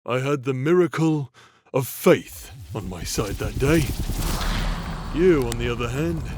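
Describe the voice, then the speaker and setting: A man's voice: medium pitch, expressive, unhurried, background noise, lightly acted. A man playing a swaggering, foul-mouthed demonic ex-paladin in an audio roleplay drama, taunting and vengeful. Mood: cocky, menacing. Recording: music under the voice.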